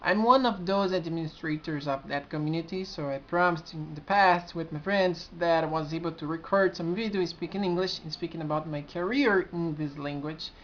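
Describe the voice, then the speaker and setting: A man's voice: on the high side, fairly bright tone, slight background noise. A young man with a strong Brazilian accent speaks to his camera in a sincere, searching way. He stops and restarts his sentences often. The sound is muffled and lo-fi, as from a cheap camera's built-in mic. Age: 20s. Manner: earnest, halting, with frequent restarts. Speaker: community administrator. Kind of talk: casual vlog to camera. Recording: dull lo-fi camera audio.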